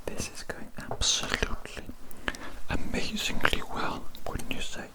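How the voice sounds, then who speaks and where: A performer playing a soothing, absent-minded alien doctor in an ASMR roleplay, murmuring in a breathy whisper and repeating little phrases. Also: a little slow, close to an everyday voice. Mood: soothing, preoccupied.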